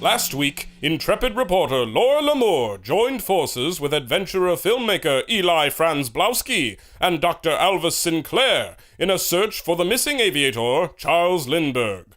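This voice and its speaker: A man's voice: fairly high pitch, sing-song, measured pace, few pauses, fairly bright tone. A male announcer narrating in the grand, stirring manner of a 1940s radio serial.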